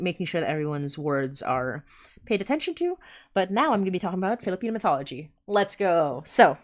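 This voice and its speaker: A woman hosting a casual livestream, chatting informally and often interrupting herself as she goes. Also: lowish voice. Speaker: podcast host and creator.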